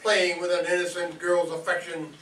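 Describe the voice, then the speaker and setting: A man's voice: high-pitched, completely flat delivery, moderate pace, background noise, lightly acted. A man playing a distrustful, protective grown son, declaiming indignantly in a radio soap opera parody. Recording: echoey stage, far mic.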